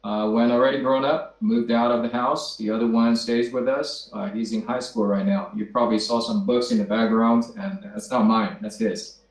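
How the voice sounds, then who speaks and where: A man in his fifties from China, native Chinese speaker, introducing himself as a guest on a video call, measured with frequent fillers. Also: medium pitch, fairly even intonation. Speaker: guest, company founder. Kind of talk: self-introduction. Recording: roomy echo.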